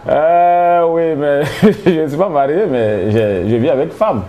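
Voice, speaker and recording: A man's voice: on the high side, measured pace, lo-fi sound. A man in his thirties with an Ivorian French accent, a traditional healer telling his story in a rambling, hesitant way. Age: 30s. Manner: rambling, hesitant, laughing often. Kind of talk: casual interview.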